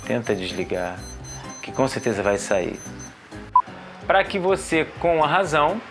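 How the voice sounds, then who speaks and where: A man's voice: mid-range voice, very animated, unhurried, music in the background. A man with a Brazilian accent hosting an informal educational video as a psychologist, talking conversationally and directly to viewers. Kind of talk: informal talk to camera about emotions.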